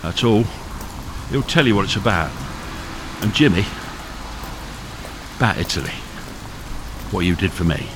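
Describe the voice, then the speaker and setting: Terse hunted smuggler played by a man, low voice. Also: expressive, a little slow, long pauses. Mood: weary, terse. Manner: terse, commanding, evasive. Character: hunted, hardened male smuggler with wounded arm, evasive. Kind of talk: radio thriller acting. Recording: noisy radio drama with rain sounds.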